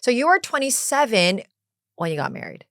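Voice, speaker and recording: A woman's voice: a little high, very animated, normal speed, fairly bright tone, no echo, clean studio sound. A woman in her thirties hosting a podcast interview, chatty and playful, laughing easily. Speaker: podcast host. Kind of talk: casual interview chat.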